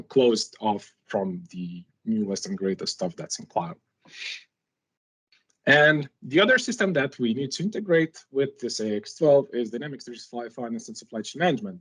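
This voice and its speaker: A man with a slightly rough, husky voice presents technical material as a non-native English speaker. His pitch sits in a middle male range. He talks informally, walking listeners through the material with rhetorical questions. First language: not English. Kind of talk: conference-style technical presentation, conversational.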